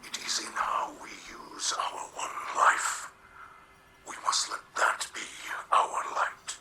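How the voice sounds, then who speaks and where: Slow, whispery, rasping alien warrior. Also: male voice, pushed and bright. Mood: grave, wistful. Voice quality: hoarse, breathy, whispery rasp. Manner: slow, halting, formal, reverent. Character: solemn, devout alien warrior father. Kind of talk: video game voice acting. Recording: music behind the voice.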